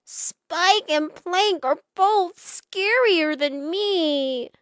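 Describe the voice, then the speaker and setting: A woman's voice: very high voice, very slow, no room sound, voice pushed up, full character voice. A woman voicing a young boy cat, eager to scare and easily discouraged, with dramatic exclamations and sighs, in a storybook reading. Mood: dejected.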